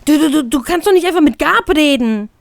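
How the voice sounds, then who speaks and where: A woman voicing an excitable, anxious little sailor boy who stammers in agitation in an audio story. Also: sits high, brisk, gravelly, dry recording, voice pushed up. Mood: indignant. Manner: stammering, agitated, breathless whispering and scolding.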